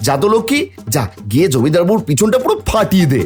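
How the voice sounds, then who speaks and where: A man's voice: high-pitched, big pitch swings, fast talker, music in the background. A man voicing a mischievous, bold and defiant village boy in a cartoon, with a taunting, sing-song manner. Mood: scheming. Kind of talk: cartoon voice acting.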